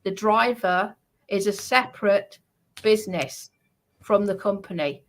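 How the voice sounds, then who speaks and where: A woman speaks at a slow, deliberate pace with a mid-range pitch. She is confrontational and scolding, addressing online trolls off the cuff. Her delivery is halting, and she circles back to stress her points.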